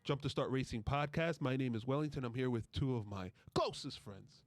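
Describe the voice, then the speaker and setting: A man hosts a sports podcast in a casual, bantering style. His pitch sits in the middle for a male voice. The sound is dry, with very little room in it. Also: fairly quick, no echo. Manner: playful, bantering. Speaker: podcast host.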